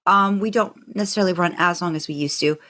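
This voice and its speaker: A woman co-hosting a podcast in a chatty, informal, animated way. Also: fairly low pitch, quick pace. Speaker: podcast co-host. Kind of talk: casual podcast chat.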